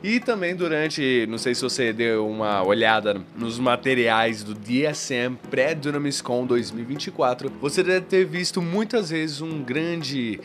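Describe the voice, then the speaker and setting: Upbeat male host, playful intro delivery, mid-pitched, light music under him. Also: measured pace, hardly any pauses, fairly bright tone. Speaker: podcast host. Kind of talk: casual podcast intro.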